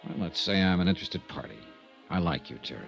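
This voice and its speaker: Lively-pitched vintage radio detective man. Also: vocal fry, music in the background. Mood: calm, probing. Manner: terse, measured questioning. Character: steady, probing insurance investigator, adult man. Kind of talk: 1950s radio detective drama acting. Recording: dull vintage radio recording.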